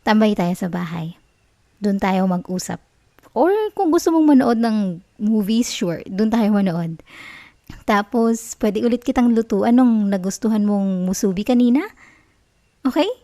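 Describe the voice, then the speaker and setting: A woman playing an obsessive, sweetly manipulative young college girlfriend in an ASMR roleplay, with a Filipino accent and a soft, coaxing, cooing manner. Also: mid-range voice, a little slow, long pauses, no echo, lightly acted. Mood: bubbly, hurried. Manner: coaxing, cooing, giggly reassurance.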